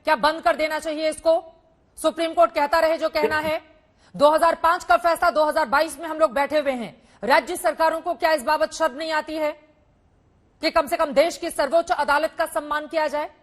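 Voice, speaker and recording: A woman's voice: high-pitched, steady tone, brisk. A woman moderating a live TV news debate, putting pointed, insistent questions to her guests.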